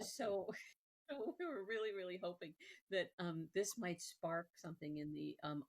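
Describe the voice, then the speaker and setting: A woman hosting a conversational interview in an effusive, hesitant, rambling manner. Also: on the low side. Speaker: show host.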